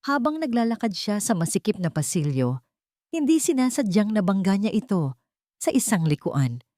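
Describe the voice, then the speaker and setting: A woman's voice: medium pitch, sing-song, moderate pace, dark tone, no room sound, studio quality. A woman reading a scripted dramatic story as narrator.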